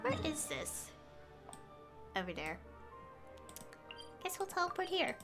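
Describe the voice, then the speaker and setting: A woman's voice: very high-pitched, very animated, takes it slowly. A woman casually commentating live gameplay as a streamer, rambling and trailing off in a giggly way. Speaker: game streamer. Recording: faint game music behind. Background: game music.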